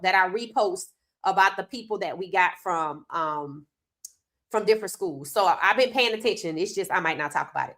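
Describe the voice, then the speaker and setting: A woman's voice: medium pitch, sharp tone. Congested woman hosting a sports podcast. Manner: chatty, loose and conversational, talking straight to viewers. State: congested from a cold. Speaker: podcast host. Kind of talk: casual sports commentary.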